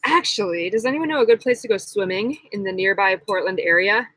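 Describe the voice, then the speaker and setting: A woman's voice: mid-range voice, fairly quick, a bit bright, no echo. A young woman hosting a casual live-stream Q&A, chatty and giggly, full of likes. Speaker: vlog host.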